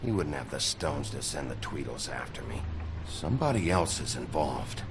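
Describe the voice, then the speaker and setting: An actor playing a gruff, hard-boiled investigator in a video game, blunt and menacing, with music underneath. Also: male voice, very deep voice, big pitch swings, moderate pace, noisy background, full character voice. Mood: suspicious, blunt. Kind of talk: dramatic video game acting.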